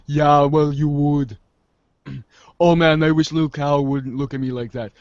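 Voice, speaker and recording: A too-cool slacker teen played by a man, a bit high in pitch, rambling casually with some swearing and unease underneath. The fan theater recording is dry and slightly muffled. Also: steady tone, a little slow, no echo. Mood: casual, uneasy. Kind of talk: read-along fan theater.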